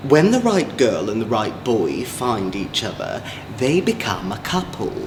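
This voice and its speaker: A man's voice: on the low side, big pitch swings, a little slow. Campy man storyteller, swooping, theatrical delivery. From England. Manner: campy, playful, exaggerated, chatty asides to young listeners. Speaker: drag queen children's storyteller. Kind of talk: theatrical children's story reading. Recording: roomy echo, faint background noise.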